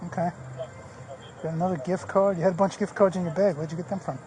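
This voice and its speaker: A man's voice: high voice, steady tone, fast talker, dark tone. A male police officer questioning someone on scene in a matter-of-fact, informal way, picked up on a body camera. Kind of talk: on-scene questioning during an arrest. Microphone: body-worn camera. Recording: noisy body camera audio.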